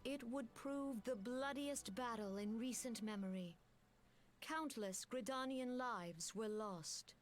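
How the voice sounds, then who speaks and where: A woman's voice: on the high side, faint music behind. A grave woman commander. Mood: solemn. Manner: grave, formal and measured. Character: solemn woman commander addressing her people. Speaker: supreme military commander. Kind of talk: video game cutscene voice acting.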